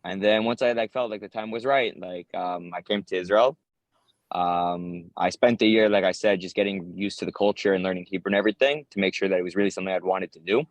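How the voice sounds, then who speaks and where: A man's voice: low-pitched, steady tone, racing, no room sound. A man in his twenties with an American accent, answering interview questions in casual talk as a soldier. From United States. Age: 20s. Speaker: interviewee, infantry paratrooper soldier.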